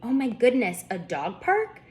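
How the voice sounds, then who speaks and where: A woman voicing an excitable, curious young schoolgirl in a storybook, eager and earnest. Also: fairly high pitch, a little slow, voice pushed up. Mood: excited. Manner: eager, wide-eyed, earnest.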